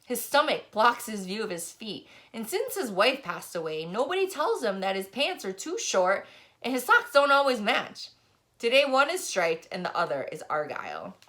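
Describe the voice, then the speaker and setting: A woman reading a first-person novel aloud as scripted audiobook narration, with expressive delivery. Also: a little high, normal speed. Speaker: audiobook reader.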